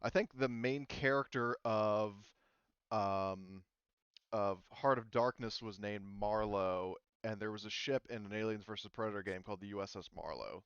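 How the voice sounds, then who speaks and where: A man's voice: on the low side, normal speed, fairly bright tone, smooth, no echo, highs cut off. A man casually talking a group through a tabletop game as game master, explanatory and given to casual swearing.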